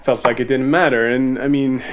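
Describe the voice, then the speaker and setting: A man's voice: mid-range voice, fairly even intonation, normal speed, background noise. A man hosting a casual group Q&A, reading out questions and answering in a hesitant, self-correcting way. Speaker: panel host and musician reading out fan questions. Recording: compressed group call audio.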